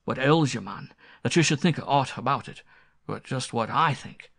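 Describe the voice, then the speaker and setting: Man voicing storybook country squire, blustering, fearful, hot-tempered, raised emphatic voice, gravelly edge. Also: mid-range voice, normal speed, a bit bright, pitched above the performer's own voice. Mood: urgent, blustering. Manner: blustering, rustic, emphatic speech.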